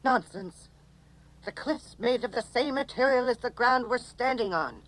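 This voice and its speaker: A woman's voice: on the high side, animated, measured pace. An actress playing a decisive woman leading an alien band, speaking firmly in a 1980s radio drama style. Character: decisive, brisk female leader of small alien band. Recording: noisy radio drama recording.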